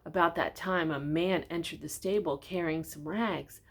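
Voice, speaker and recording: An older woman reading a children's storybook aloud in a gentle, expressive way, often speaking directly to her young listeners with questions. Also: low voice, normal speed.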